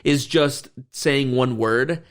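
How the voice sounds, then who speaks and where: A man's voice: medium pitch, takes it slowly. A man co-hosting a podcast, giving his opinions on a film in an informal, emphatic way full of filler phrases. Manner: informal, emphatic, often says "like" and "you know". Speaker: podcast co-host. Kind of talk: casual, opinionated film chat.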